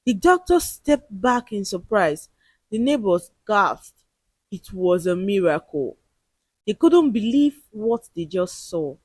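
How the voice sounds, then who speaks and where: A woman's voice: medium pitch, sing-song, slow, husky, no echo. A woman narrating a scripted story in a dramatic, suspenseful and emotive way.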